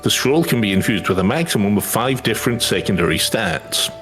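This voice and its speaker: Low-voiced man reading a game guide aloud. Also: lively intonation, a little fast, music in the background. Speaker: gaming companion reading quest text and guide aloud. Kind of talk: casual gaming chat and reading aloud.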